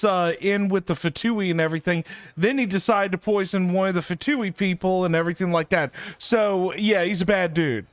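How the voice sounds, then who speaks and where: Male streamer, high voice, slow rambling casual delivery, slangy and repetitive. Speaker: game streamer. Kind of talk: casual live stream commentary.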